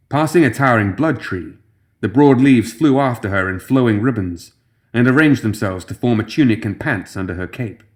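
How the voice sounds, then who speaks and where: A man reading scripted fantasy fiction as a dramatic audiobook narrator. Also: mid-range voice.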